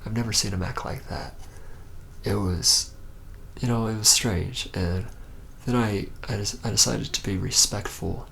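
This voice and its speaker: A man as a low, gravelly, reluctant young vampire. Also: fairly bright tone, a little hiss or hum. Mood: hesitant, uneasy recollection. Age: young adult. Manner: hesitant, halting, murmured, often near whispering. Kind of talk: ASMR roleplay monologue.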